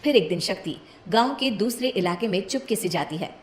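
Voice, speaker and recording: A woman's voice: medium pitch, lively intonation, moderate pace, echoey room, soft background music. A woman reading a scripted cartoon moral story as its narrator.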